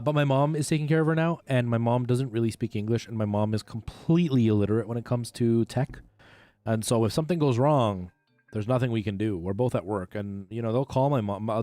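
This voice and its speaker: A man's voice: on the low side, mellow, no echo. A man, chatting casually as he streams a retro video game. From Canada. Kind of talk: casual chat while playing a retro game on a livestream. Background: faint game music.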